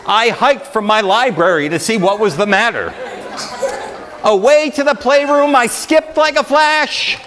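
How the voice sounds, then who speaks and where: A man doing a live storytime read-aloud of comic rhyming verse, with an animated, theatrical delivery. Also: very high-pitched, slight background noise. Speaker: librarian storyteller.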